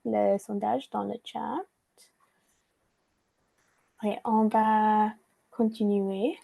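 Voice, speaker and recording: A woman facilitating a virtual workshop over a video call, reading from notes and pausing often to hesitate and restart. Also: medium pitch, gravelly, warm tone.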